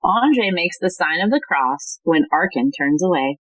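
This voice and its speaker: A woman's voice: fairly low pitch, expressive, measured pace, no echo, low-quality recording. A woman giving scripted narration of stage directions as the narrator of an audio play.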